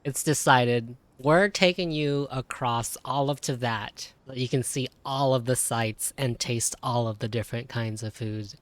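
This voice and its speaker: A man's voice: medium pitch, measured pace, few pauses, no echo. A man giving casual, emotionally invested live commentary as a video game streamer. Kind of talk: live playthrough commentary and reactions. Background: game music.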